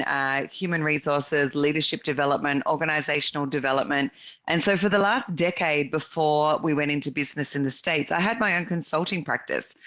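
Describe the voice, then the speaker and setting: An Australian woman chats as a podcast guest with a bright, forceful voice, telling stories with lots of energy and frequent laughs. The recording sounds a little dull and lo-fi. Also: low voice. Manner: lively storyteller, laughs often. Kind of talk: casual interview chat.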